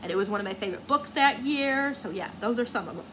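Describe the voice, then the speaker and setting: A woman's voice: mid-range voice, animated, fast, bright, forceful tone, slight background noise, low-quality recording. A woman book vlogger chatting casually to viewers, gushing and rambling as she searches for words.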